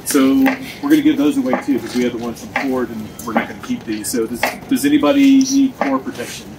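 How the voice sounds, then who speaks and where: A man's voice: mid-range voice, very animated, fast. A man hosting a car owners' meetup, talking loosely to a group outdoors with many ums and restarts. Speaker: meetup organizer, channel host. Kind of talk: casual talk to a group outdoors. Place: snowy parking lot outdoors. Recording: outdoor video with clear background noise.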